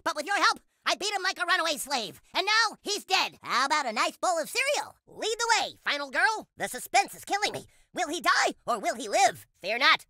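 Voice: sits high, very animated, fairly quick, hardly any pauses, sharp tone, dry recording, studio quality, heavily acted. An actor voicing an earnest, boastful alien boy superhero in a cartoon video game, speaking in grandiose, theatrical declarations. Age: child character. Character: earnest, boastful boy superhero from another planet, cereal-obsessed.

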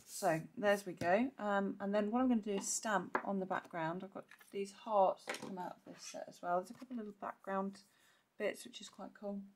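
Croaky-voiced woman teaching a craft. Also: medium pitch, moderate pace, slight background noise. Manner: chatty, clears throat often. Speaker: papercraft demonstrator. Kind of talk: casual step-by-step craft tutorial.

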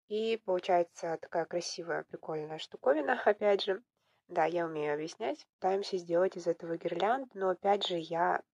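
A young woman with a slightly hoarse voice from a cold, casually narrating her clay crafting at home in a relaxed, self-ironic way. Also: fairly low pitch, dry recording, muffled recording. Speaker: vlogger, polymer clay crafter. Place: indoors at home, at a table.

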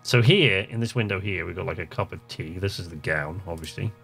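A man's voice: deep voice, brisk, vocal fry, fairly bright tone, soft background music. A man casually commentating on a game he plays, chatting and muttering to himself and reading on-screen text aloud. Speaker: let's play host.

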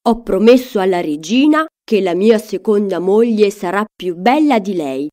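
A woman's voice: medium pitch, lively intonation, normal speed, a little mellow, close to an everyday voice. An ageing king in a fairy tale, read by a woman. He is solemn and commanding. Each line comes out firm and declarative.

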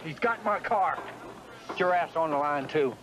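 An agitated, bossy male promoter in a TV movie drama, played by an actor. His voice is high for a man, and there is clear background noise. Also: animated, moderate pace, natural voice. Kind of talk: 1990s TV movie drama acting. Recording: noisy film clip, vehicle sounds.

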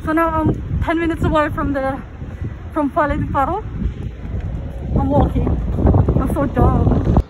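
A woman's voice: high-pitched, fairly even intonation, measured pace, pauses often. Chatty young woman vlogging outdoors. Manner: chatty, self-mocking, laughs at herself. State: stuffy runny nose from pollen allergy. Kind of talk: casual vlog chat to camera. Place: outdoors in a sunny city park and streets. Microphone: phone held at arm's length. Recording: loud outdoor street noise.

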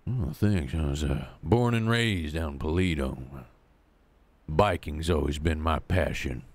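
A man's voice: very deep voice, measured pace, no echo, heavily acted. A man playing a flirtatious biker in an improvised online game role-play, giving coy, suggestive compliments. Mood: curious, flirty. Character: smooth, flirtatious male biker, admiring and coy.

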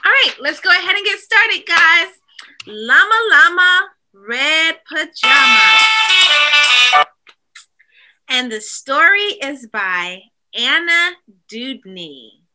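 A woman in an expressive, animated read-aloud style, speaking directly to young children as a teacher would. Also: sits high, takes it slowly, low-quality recording. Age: adult.

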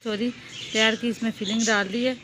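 A woman, calmly explaining a home cooking recipe step by step while she works. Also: a little high, brisk. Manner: calm, instructive, talks while working with hands. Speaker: home cooking channel host. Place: home kitchen. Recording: loud background noise, birds chirping.

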